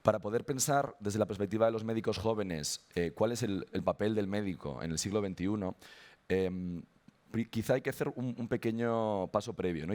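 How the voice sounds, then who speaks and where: A young man with a Spanish accent giving a conference talk, his voice hoarse after an illness, hesitant with frequent restarts. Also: on the low side, darkish tone. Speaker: conference speaker.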